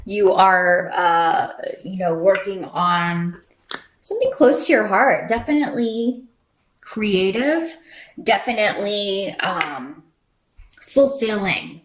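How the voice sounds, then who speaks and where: A woman's voice: mid-range voice, animated, slow, slight background noise. A woman giving an unscripted tarot reading to viewers in a conversational, rambling, reassuring way. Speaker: tarot reader and channel host. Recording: roomy echo.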